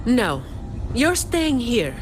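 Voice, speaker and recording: A woman playing a cool, protective fighter in a video game, speaking in a measured, assertive way. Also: fairly high pitch, big pitch swings, full character voice. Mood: firm, concerned. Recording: game music under the voice.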